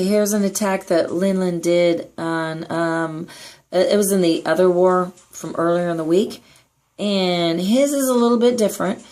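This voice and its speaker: A hoarse woman with a raspy voice gives a chatty, upbeat gameplay tutorial voice-over as clan leader. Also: lowish voice, a little mellow. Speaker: clan leader giving strategy tips.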